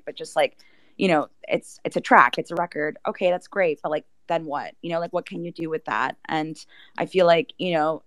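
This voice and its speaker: A woman's voice: sits low, no echo. A woman speaking as an interview guest, explaining a project in a casual, conversational way with lots of "like" and "you know".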